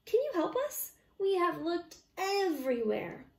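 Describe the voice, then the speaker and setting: A woman's voice: extremely high pitch, big pitch swings, a little slow, vocal fry, a little mellow, pitched above the performer's own voice, exaggerated voice. A woman voicing an eager, fussy city fox in a children's storybook, with emphatic, exclaiming delivery. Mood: polite, asking. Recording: roomy, echoey, distant microphone.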